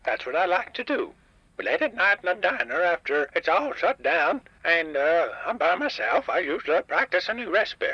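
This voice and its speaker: Man acting as grumpy old wasteland diner owner, folksy, high-pitched, husky, radio drama, boxy radio sound. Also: very animated, moderate pace, lo-fi sound, full character voice. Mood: cantankerous. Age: older. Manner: grumpy, folksy, hesitant phrasing. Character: crotchety, warm-hearted old diner owner in a wasteland town. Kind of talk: post-apocalyptic comedy radio drama. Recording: roomy, band-limited radio sound.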